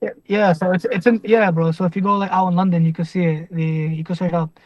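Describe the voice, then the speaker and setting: Young male debater with a high voice and dry sound; earnest and casual, talks in restarts and keeps asking "right?". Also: steady tone, quick pace, darkish tone, no room sound, low-quality recording. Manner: casual slang, calls listener "bro".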